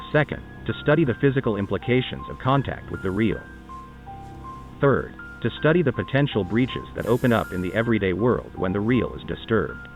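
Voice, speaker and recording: A man playing a solemn presenter in a scripted horror documentary, speaking in a formal, measured, clinical way. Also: fairly low pitch, muffled recording. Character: solemn male presenter of a secret clinical trial. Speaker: narrator. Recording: music bed behind narration.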